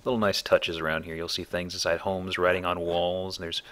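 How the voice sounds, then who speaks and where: A man's voice: sits low, flat delivery, a little fast, fairly bright tone. A man hosting a let's play, giving casual commentary on a video game.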